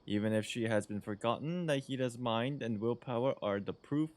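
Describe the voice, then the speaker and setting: A man's voice: medium pitch, normal speed, a little mellow. A man commenting casually on a video game as he plays and reading some dialogue aloud. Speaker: streamer playing a video game.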